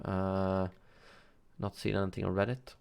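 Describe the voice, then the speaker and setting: A man's voice: low voice, little pitch movement, a little slow, creaky, a little mellow, clear, resonant tone. A man hosting a gaming news livestream, chatting casually and answering viewers' chat with frequent fillers and self-corrections.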